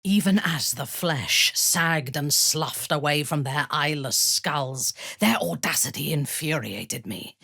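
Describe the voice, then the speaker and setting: A woman playing a ruthless, imperious female dark elf warrior in a video game, with commanding, disdainful and measured delivery. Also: low voice, expressive, gravelly, slightly rough, no room sound, clean studio sound, exaggerated voice. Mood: grave, proud. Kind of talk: video game character acting.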